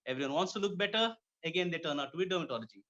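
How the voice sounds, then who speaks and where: A man's voice: a little high, lively intonation, racing, pushed and bright. A man from Kuwait speaking conversationally as a dermatologist guest in an interview. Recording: dull lo-fi audio.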